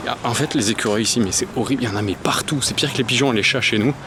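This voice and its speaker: Young french dude walking and talking, chatty, slangy, traffic roaring behind. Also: male voice, medium pitch, very fast. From France. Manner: chatty, lots of fillers and slang. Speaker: vlogger. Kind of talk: casual walking vlog. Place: city streets outdoors, walking. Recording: loud traffic noise outdoors.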